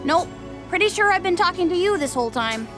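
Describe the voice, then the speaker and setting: A woman acts a young swordsman boy for an anime-style audio drama. The voice is high and lively, yet casual and unflappable, brushing things off lightly. Faint music sits behind it. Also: brisk. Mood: dismissive, offhand.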